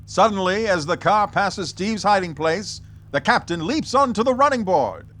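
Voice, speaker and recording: A dramatic radio serial narrator, performed by a man, with a high voice for a male. He delivers scripted scene-setting with urgency, over some background noise. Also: animated, normal speed, pushed and bright. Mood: dramatic, urgent.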